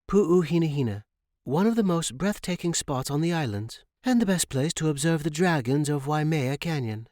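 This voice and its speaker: A man voicing a self-assured, sardonic woman guide in an audiobook story, brisk and wary of outsiders. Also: fairly high pitch, animated, darkish tone, no room sound, clean studio sound, voice pushed up, lightly acted. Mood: proud.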